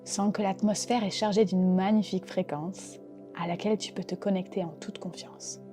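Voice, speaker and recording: A woman gently and calmly guiding a relaxation meditation as a yoga nidra teacher, unhurried and soothing in her delivery. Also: medium pitch. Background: soft background music.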